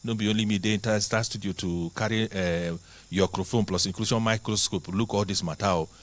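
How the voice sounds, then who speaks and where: A man with a Nigerian accent, hosting a studio talk show in a chatty, animated way. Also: lowish voice, a little fast.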